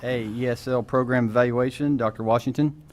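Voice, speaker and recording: Mid-pitched man chairing a meeting. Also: steady tone, brisk, a little hiss or hum. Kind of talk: procedural announcements at a formal meeting. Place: school board meeting room.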